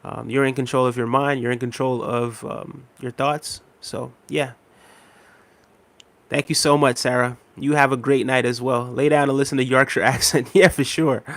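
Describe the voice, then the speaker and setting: Man, 30s, gravelly voice, middle pitch, relaxed livestream host, lots of fillers. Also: moderate pace, no echo. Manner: relaxed, repeats himself, many fillers. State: a little unwell and tired. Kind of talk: casual livestream chat reading viewer comments.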